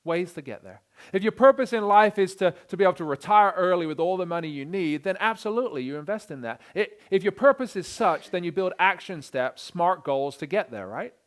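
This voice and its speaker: An energetic pastor delivers a sermon, often repeating words as he gets going. His voice sits a little high for a man. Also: fairly quick, few pauses. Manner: energetic, repeats words when starting sentences.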